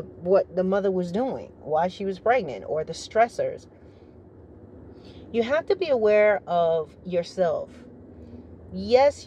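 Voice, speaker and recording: Deep-voiced middle-aged woman, briefing-style delivery. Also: warm tone, a little hiss or hum. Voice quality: naturally deep, rich voice. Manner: measured, formal briefing-like delivery. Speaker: retired military vlogger. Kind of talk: opinionated monologue to camera.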